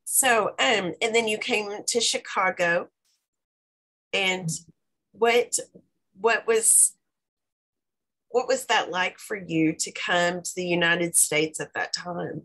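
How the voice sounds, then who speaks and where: A woman interviewing, asking gentle prompting questions at an unhurried pace. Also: on the low side.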